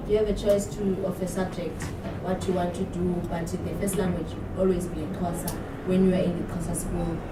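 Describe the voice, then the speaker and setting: Woman, repeats herself, halting, far from the mic in an echoey room. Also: fairly low pitch, level tone. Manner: repetitive, halting. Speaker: class participant. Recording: echoey room from afar, noisy.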